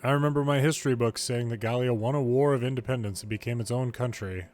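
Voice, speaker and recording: A man's voice: medium pitch, quick pace, a little mellow. Man reading game dialogue over music. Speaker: fan reader of game dialogue. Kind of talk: reading game story dialogue aloud in English.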